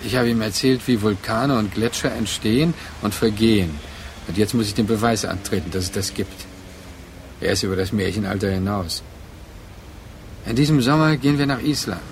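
An actor in a literary radio drama playing a brooding man who addresses his remembered former lover in halting, reflective phrases. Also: lowish voice, close to an everyday voice. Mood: musing. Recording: clear noise behind the voice.

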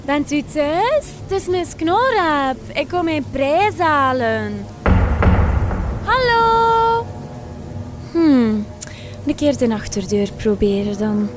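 A woman's voice: high-pitched, very animated, takes it slowly, full character voice. An actress playing a naive, girlish village beauty queen in a radio drama, with an exaggerated singsong delivery. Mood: chirpy, curious. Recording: loud music and crowd-like noise behind.

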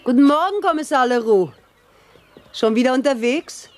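A woman's voice: high-pitched, animated, moderate pace. A woman acting as a wary farm woman in a radio drama, plain-spoken and pointed. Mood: matter-of-fact. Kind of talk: German radio play dialogue.

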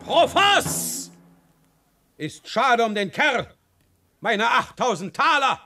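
An actor playing a gruff, tyrannical Prussian king in a German radio play, barking and scolding his orders. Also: extremely high pitch, very animated, slow, over music, full character voice. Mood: furious.

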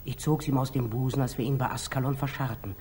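An actor playing a humble, devout, simple-hearted lay brother in a 1940s German radio drama, gentle and plain-spoken. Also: male voice, mid-range voice, steady tone, quick pace, breathy edge. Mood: earnest, recollecting. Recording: faintly noisy 1940s radio recording.

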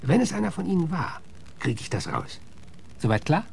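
A man's voice: on the high side, big pitch swings, moderate pace, gravelly, slight background noise. A man playing a blunt, suspicious American marshal in a German radio drama, firing curt, coarse questions. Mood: threatening. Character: gruff, blunt, suspicious male US marshal interrogating suspects.

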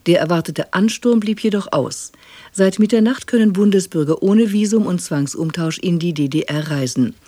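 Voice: medium pitch, dark tone, no room sound. A news reader delivering scripted radio bulletins in a formal, neutral manner.

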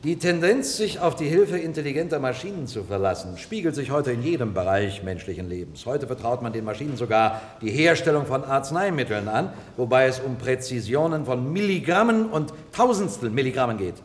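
An actor playing a persistent, courtly defence lawyer in a German radio drama, speaking formally and emphatically. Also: male voice, mid-range voice, sing-song, fairly quick, few pauses, natural voice. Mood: courtly, persuasive. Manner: formal, rhetorical, emphatic delivery. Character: male defence lawyer, eloquent, persistent, courtly, pleading for robots. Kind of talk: 1960s-1990s German radio drama acting. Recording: reverberant hall, old radio play.

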